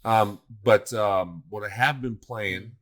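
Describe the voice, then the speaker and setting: A man's voice: lowish voice, slow pace, a bit bright. A man chatting casually as he presents a video game segment, blunt and profane, often repeating phrases for emphasis. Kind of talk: casual chat.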